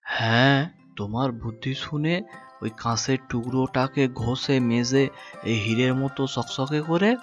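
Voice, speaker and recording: Bumbling good-hearted boatman, male actor, cartoon dialogue, mid-pitched, music underneath. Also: unhurried, muffled recording, close to an everyday voice. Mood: pleased, muddled. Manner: explanatory, stumbling over words. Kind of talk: Bengali cartoon story dubbing.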